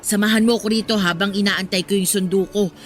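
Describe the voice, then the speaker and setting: An actress playing a nosy, pious older village churchgoer in a radio drama, with a scolding, insistent manner. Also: female voice, mid-range voice, steady tone, fast talker, soft background music, natural voice. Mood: preachy.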